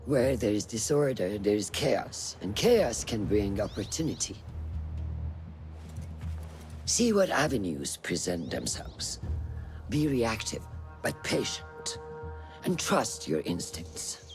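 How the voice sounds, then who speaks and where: An actor playing a stern, commanding older mentor in a video game cutscene, gravelly and measured in his instruction. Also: very animated, darkish tone, heavily acted. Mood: stern, guiding. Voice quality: deep, gravelly. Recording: music under the voice. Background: music.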